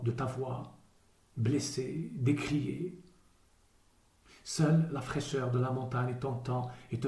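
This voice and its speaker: Male poetry reader, mellow tone, unhurried declamatory delivery with deliberate pauses, roomy sound. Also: mid-range voice. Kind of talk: solemn literary poetry recitation. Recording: roomy echo around the voice.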